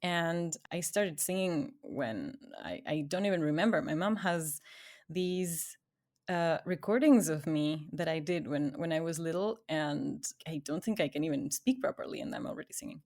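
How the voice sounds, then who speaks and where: A woman's voice: low voice, animated, moderate pace. A woman from Ecuador, co-hosting a podcast, telling her own story in a casual, chatty way and laughing often. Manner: chatty, laughs often, trails off quietly at phrase ends. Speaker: podcast co-host.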